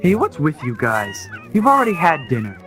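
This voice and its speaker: A man's voice: a little high, wildly swooping pitch, normal speed, music in the background. An actor playing an earnest, friendly young man who looks after pets, in a storybook audio drama. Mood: kindly, coaxing.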